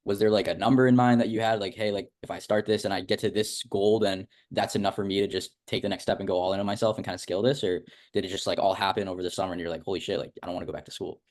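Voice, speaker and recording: A young man hosts a casual interview. His voice sits a little low and he talks very quickly, rambling informally and leaning on filler words as he goes. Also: steady tone, darkish tone. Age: young adult. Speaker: host interviewing a student of a business academy.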